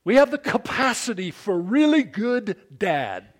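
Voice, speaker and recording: A man in his fifties preaching a sermon to fathers, with a big, animated delivery that calls for amens from the congregation. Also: sits high, unhurried. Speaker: pastor.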